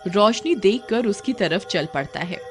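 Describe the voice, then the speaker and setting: A woman's voice: medium pitch, animated, a little slow. A woman narrating a scripted cartoon horror tale in a dramatic storytelling manner. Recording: loud music under the voice. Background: loud background music.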